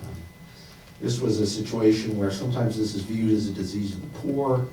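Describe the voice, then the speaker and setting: A man on a panel answering in a reflective, halting way, full of ums and uhs. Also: on the low side, warm tone, a little hiss or hum. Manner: reflective, halting, many fillers. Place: conference hall. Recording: roomy, distant conference hall mic.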